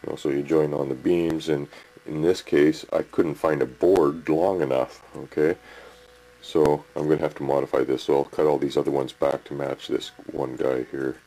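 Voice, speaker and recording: A man's voice: very deep voice, flat delivery, moderate pace, mellow, low-quality recording. A man, rambling through a casual home workshop tip and checking in with the viewer, recorded on a handheld camcorder. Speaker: hobby model builder. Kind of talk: casual home video tutorial. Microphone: camcorder mic, handheld.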